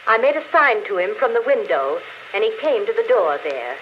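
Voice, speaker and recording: An actress playing an unrepentant murderess confessing in an intimate, matter-of-fact way, in 1940s radio drama style. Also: female voice, fairly high pitch, very animated, moderate pace. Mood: calm, unrepentant. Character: murderess confessing on a phonograph record, coolly unrepentant. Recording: dull, noisy old radio recording.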